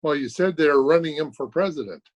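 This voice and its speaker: A man's voice: fairly high pitch, brisk, close to an everyday voice. A man playing a courteous, gloomy taxi driver in an old-time radio drama. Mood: deadpan gloomy. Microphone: video call.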